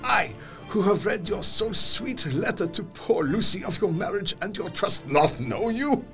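An actor playing an effusive, courtly older foreign doctor in a gothic audio drama, with florid, earnest phrasing. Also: male voice, sits high, lively intonation, unhurried, a bit bright, faint music behind, heavily acted. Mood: concerned, probing.

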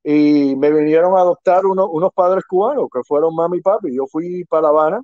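A man's voice: on the high side, a little fast, dry recording. A middle-aged man from Quebec raised in Miami, a child rescue investigator talking casually as an interview guest, rambling and mixing in English words. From Quebec, Canada, raised in Miami. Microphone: phone or call line. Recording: dull lo-fi sound.

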